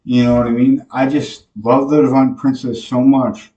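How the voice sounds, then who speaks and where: A young man hosting a podcast, talking to the camera in a slow, rambling devotional monologue full of fillers and restarts, recorded in a small bare room. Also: mid-range voice, level tone, dark tone, muffled recording. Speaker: podcast host. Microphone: camera mic from a few feet away. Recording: echoey room, voice a bit distant from the mic.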